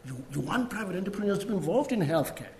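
A middle-aged man giving a talk and answering questions, halting often and repeating words. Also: sits high, very animated, darkish tone, slight background noise. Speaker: guest speaker. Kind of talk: lecture and Q&A answers.

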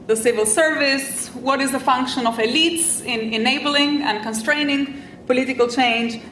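A middle-aged woman from Albania, a native French speaker, delivers an academic lecture in a measured, reflective way. Her voice sits fairly high and now and then shows a dry, self-deprecating humor. The room around her rings audibly, with a faint hum of ambience underneath. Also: fairly bright tone, echoey room, far from the mic. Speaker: invited speaker.

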